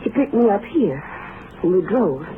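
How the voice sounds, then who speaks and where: On a faintly hissy, band-limited radio recording, an actress plays a young rancher's daughter. She is hesitant and shaken, telling her account in halting, earnest phrases for a crime drama. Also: mid-range voice, very animated, phone-quality sound, lightly acted. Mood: hesitant, subdued. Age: young adult.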